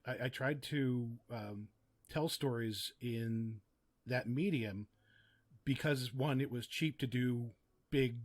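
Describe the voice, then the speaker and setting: Man, middle-aged, podcast guest, medium pitch, thoughtful, articulate, conversational. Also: slow pace. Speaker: podcast guest, audio drama writer and producer. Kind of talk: casual, reflective interview talk.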